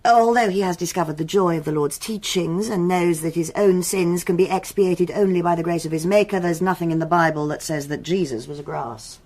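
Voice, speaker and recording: An actress playing a stern, no-nonsense police superintendent in a radio drama, clipped and authoritative. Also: female voice, on the low side, brisk, hardly any pauses, a little mellow, lightly acted. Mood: stern, scornful. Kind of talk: BBC radio drama acting.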